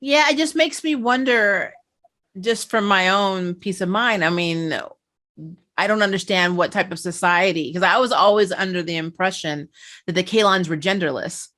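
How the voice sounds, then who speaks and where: A woman's voice: mid-range voice, normal speed, a bit bright. A woman chatting casually and animatedly as she hosts a discussion reviewing a TV show.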